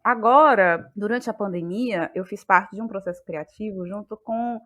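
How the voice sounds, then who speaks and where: A woman with a Bahian Brazilian accent talking reflectively in an interview, feeling her way haltingly through her thoughts. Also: medium pitch, a bit bright. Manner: thoughtful, halting, searching for words. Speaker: guest, playwright and actress.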